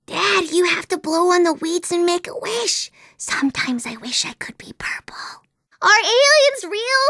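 Game role: chatty, dreamy little girl, very animated delivery, dry close recording. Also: very high-pitched, unhurried, dry recording, heavily acted. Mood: curious, wondering. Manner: whimsical, earnest, childlike wondering. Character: imaginative, chatty little girl, dreamy about unicorns and princesses. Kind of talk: animated video game character voice acting.

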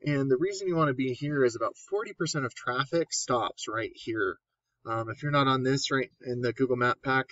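A man's voice: mid-range voice, no echo, muffled recording. A man who works in online marketing casually explains search rankings over a screen recording, full of ums and restarts. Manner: casual, many fillers and restarts. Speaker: SEO marketer. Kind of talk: screen-share walkthrough.

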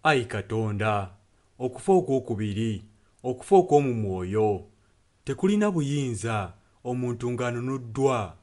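A man serves as a sermon interpreter. His voice is of average pitch, and he delivers the prayer solemnly, one phrase at a time. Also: sing-song, normal speed, darkish tone. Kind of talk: consecutive translation of a sermon and prayer.

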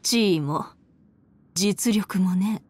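A woman playing a haughty, cruel, elegant villainess in a Japanese anime game, with a condescending, mocking, drawn-out aristocratic delivery. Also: mid-range voice, a little mellow, a little hiss or hum. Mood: contemptuous, threatening. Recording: studio voice over game music.

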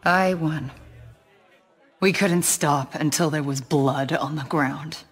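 Haunted game assassin, subdued and husky, dry wit. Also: female voice, low voice, slow pace, a faint crowd, heavily acted. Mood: troubled. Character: brooding green-skinned female warrior assassin. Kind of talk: video game acting.

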